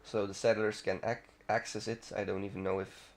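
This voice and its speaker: A man's voice: on the low side, little pitch movement, a little fast, a little hiss or hum. Rambling man doing casual game commentary. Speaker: gaming video host.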